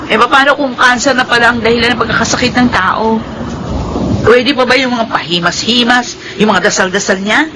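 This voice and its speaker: A woman acts out a resentful young Filipina in a radio drama. Her voice is very high and her delivery scornful and heightened. Clear background noise runs through the recording. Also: moderate pace, lightly acted. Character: bitter, resentful young woman, a failed nursing student.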